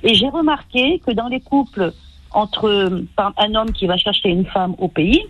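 A woman from France calls in to a radio program. She speaks in a measured, analytical way and hedges her claims as she goes. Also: medium pitch, highs cut off. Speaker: radio caller. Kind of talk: call-in radio talk.

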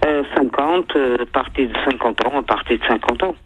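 A woman's voice: rumbling low, a little fast, husky, phone-quality sound, soft background music. A woman in her fifties with a light Portuguese accent, calling a radio show and answering in short, repeated phrases. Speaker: radio call-in guest. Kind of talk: casual phone conversation. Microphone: telephone call to a radio show.

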